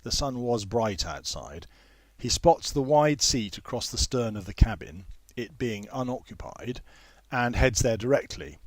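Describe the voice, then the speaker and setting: A man narrating a literary story in a measured, formal manner with precise phrasing. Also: mid-range voice, expressive, no room sound. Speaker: author reading own novel.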